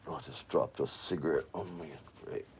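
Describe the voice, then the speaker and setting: A man's voice: very deep voice, brisk, a little mellow, slight background noise. A weary man, rambling and venting to viewers on a late-night livestream from home. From Florida, USA. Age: 40s. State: exhausted. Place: at home, indoors.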